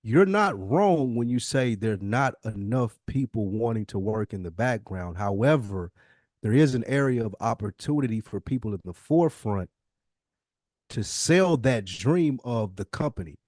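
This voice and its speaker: A man's voice: medium pitch, lively intonation, normal speed, a little mellow, no room sound, studio quality. A man in a conversational podcast discussion, explaining emphatically and posing rhetorical questions as a co-host.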